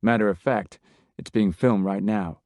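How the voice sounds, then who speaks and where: Self-assured Colombian colonel, voiced by a man narrating; low, polite, dry close sound. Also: measured pace, darkish tone, no room sound, natural voice. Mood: confident. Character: smiling male Colombian colonel, smooth and confident.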